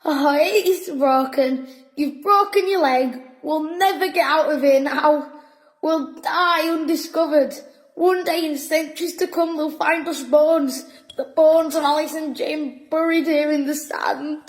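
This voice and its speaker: A woman's voice: high-pitched, unhurried. A woman playing an anxious, gloomy but loyal schoolboy in an audio drama, with a worried, doleful manner. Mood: doom-laden.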